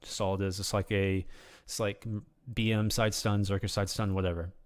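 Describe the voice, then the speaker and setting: Slightly hoarse man, rambling game walkthrough. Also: lowish voice, normal speed. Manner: rambling, hesitant, full of ums and restarts; relaxed, casual explaining. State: slightly hoarse, losing his voice. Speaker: game guide video creator. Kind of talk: unscripted game tutorial commentary.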